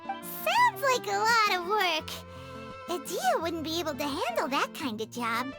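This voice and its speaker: Casting: a cute, curious fairy sidekick in a game; squeaky-high, hugely animated delivery, music underneath. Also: female voice, unhurried, heavily acted. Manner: exclamatory, cute, wide-eyed. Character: small childlike fairy companion, curious, eager, third-person talker. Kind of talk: English video game voice acting.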